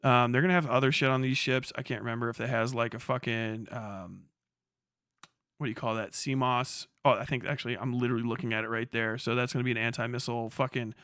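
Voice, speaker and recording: A man's voice: mid-range voice, steady tone, quick pace, fairly bright tone, no echo. A man giving casual, off-the-cuff livestream commentary as a military veteran, slangy and full of swearing. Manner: profane, slangy.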